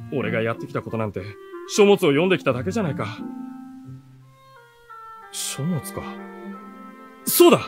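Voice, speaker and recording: Radio drama lead: earnest bookish runaway boy, highish animated voice, expressive storytelling delivery. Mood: self-reproachful, then resolved. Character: earnest seventeen-year-old youth, bookish runaway storyteller. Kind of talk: radio drama narration and dialogue.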